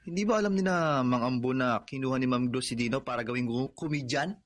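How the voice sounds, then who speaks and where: A man's voice: mid-range voice, fast talker, lightly acted. A man with a Filipino accent playing a loyal, supportive young friend in a radio drama, sympathetic and plainspoken. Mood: concerned.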